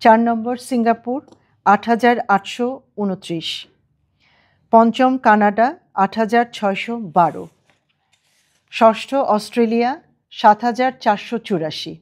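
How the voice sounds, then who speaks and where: A woman's voice: on the high side, measured pace, long pauses, a little mellow. A woman news presenter with a Bangladeshi accent reading scripted news items.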